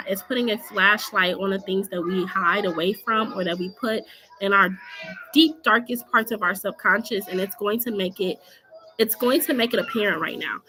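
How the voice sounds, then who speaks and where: A woman's voice: medium pitch, bright, forceful tone. A woman giving live tarot readings in a casual, chatty way, her voice scratchy from allergies, often checking in with "okay?" and laughing.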